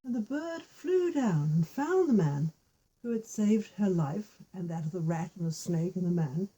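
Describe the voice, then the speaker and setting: A woman's voice: fairly low pitch, animated, measured pace, mellow, breathy edge, rough recording. A woman telling a folk tale informally, with repetition and little spoken asides. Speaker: storyteller with puppet figures.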